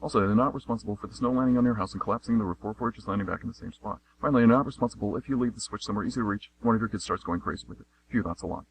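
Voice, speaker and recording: Lowish-voiced man announcing radio theatre. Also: racing, hardly any pauses, slightly rough, poor audio. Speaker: announcer and narrator. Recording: faint noise and hum.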